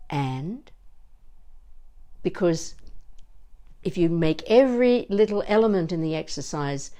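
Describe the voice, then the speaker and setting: A woman teaching vocal warm-up exercises in an expressive, encouraging manner, as a voice coach would. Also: on the low side. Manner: expressive, encouraging, demonstrates with sighs and playful asides. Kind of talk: instructional warm-up demonstration.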